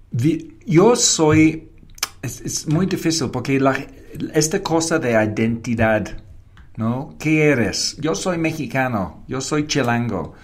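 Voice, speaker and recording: A man's voice: medium pitch, expressive, slow. A man who grew up in Wales, a native English speaker with a British accent, answering interview questions in non-native Spanish with short declarative sentences and the odd English word. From Wales, Britain. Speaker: guest, advertising creative and professor. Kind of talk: reflective interview conversation.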